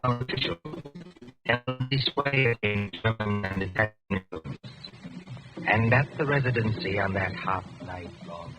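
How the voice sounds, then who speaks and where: A man narrating a radio drama in a theatrical, ominous 1940s style with deliberate phrasing. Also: on the low side. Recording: muffled old radio recording, loud music behind.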